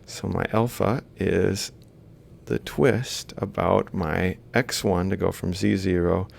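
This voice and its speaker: A man's voice: fairly even intonation, takes it slowly, vocal fry. A man giving an informal lecture as he works through an example, thinking aloud step by step and correcting himself. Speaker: robotics lecturer. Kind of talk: informal worked-example lecture, explaining while drawing. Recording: faint background noise with low rumble.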